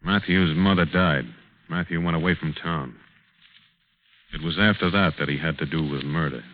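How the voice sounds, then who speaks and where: An actor playing a quiet, lonely working man, hesitant and plain-spoken, in a 1940s radio drama style. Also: a bass voice, moderate pace, a little hiss or hum. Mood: brooding. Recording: muffled, narrow-band old radio broadcast, organ music.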